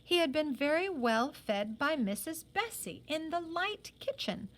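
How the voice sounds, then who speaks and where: High, very animated woman storyteller. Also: measured pace. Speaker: read-aloud narrator, teacher. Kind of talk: expressive storybook narration.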